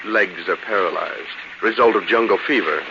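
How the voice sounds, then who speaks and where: A muffled 1940s radio recording with clear noise. An actor plays a brisk young male secretary with a low voice, formal and curt in his phrasing. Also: lightly acted. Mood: businesslike.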